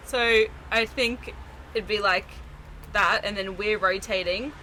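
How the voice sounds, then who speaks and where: A young woman with a bright, crisp tone explains her ideas in a relaxed, chatty way. She laughs easily and leans on "like" and "you know". Her voice sits mid-range, with faint noise behind it. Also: medium pitch, slow, poor audio. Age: 20s. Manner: laid-back, giggly, explains with lots of "like" and "you know". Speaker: numerology guest. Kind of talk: casual explanatory chat.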